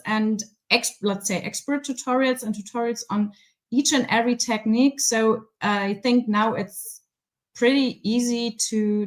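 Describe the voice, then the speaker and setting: A woman with a German accent, a guest resin artist chatting casually on a video call, pausing often with ums. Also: fairly high pitch. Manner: hesitant, many ums, laughs easily. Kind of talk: casual chat.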